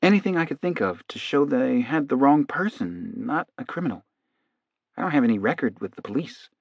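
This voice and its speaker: A man voicing a meek, earnest, hypnotized male defendant, soft and halting, in an audiobook. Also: mid-range voice, animated, gravelly, no room sound, lo-fi sound. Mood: hesitant recalling. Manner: soft, halting, half-distracted.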